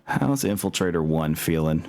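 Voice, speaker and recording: A man's voice: deep voice, expressive, normal speed, gravelly, a little mellow. A man narrating a tabletop war game as game master, with casual asides, swearing and exclamations. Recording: music playing behind the voice. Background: music.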